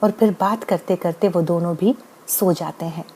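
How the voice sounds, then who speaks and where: A woman's voice: fairly low pitch, normal speed, dark tone, breathy edge. A woman narrating a scripted bedtime moral story as the storyteller.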